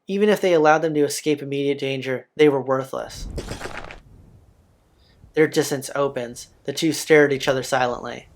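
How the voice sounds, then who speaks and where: A man's voice: mid-range voice, normal speed. A man hosting a let's play, reading visual novel narration aloud. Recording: music playing behind the voice. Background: game soundtrack.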